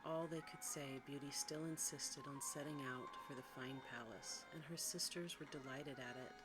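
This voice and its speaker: Hushed ASMR storyteller over music. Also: medium pitch, steady tone, moderate pace, low-quality recording. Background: background music.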